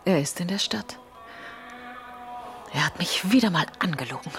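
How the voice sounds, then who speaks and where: An actress in a radio drama playing a sharp, insistent woman who challenges people with blunt suspicion. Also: lowish voice, lively intonation, normal speed, music in the background. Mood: shaken. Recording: clear ambient noise behind voice.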